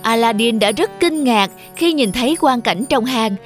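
A woman narrates a scripted fairy tale for young children. Her voice sits somewhat high. Soft music plays behind the narration. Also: animated, moderate pace.

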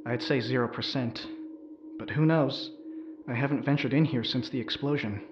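Casting: nervous young spaceship medic played by a woman, loyal, earnest and full of self-doubt; sci-fi audio drama, light rumbling noise underneath. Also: normal speed, highs cut off. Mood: low, cautious. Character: anxious, guilt-ridden young ship's medic, loyal.

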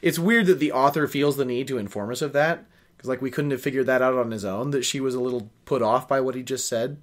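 A man's voice: medium pitch, fast talker. A young man reading a novel aloud in a small room at home, hosting and adding wry, casual asides. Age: young adult. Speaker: host of a book reading show.

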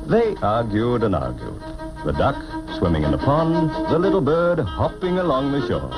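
A man narrating a children's storybook cartoon in a theatrical, expressive way, on an old film soundtrack. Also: medium pitch, moderate pace. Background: orchestral music.

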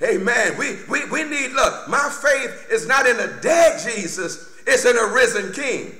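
A man's voice: very high voice, expressive, measured pace, sharp tone. A middle-aged man preaching a fervent sermon as a pastor, emphatic and exclamatory, repeating phrases. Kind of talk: fervent Baptist Easter sermon. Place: church sanctuary at a pulpit, indoors. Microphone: microphone on boom stand at pulpit. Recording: roomy, echoing church sound.

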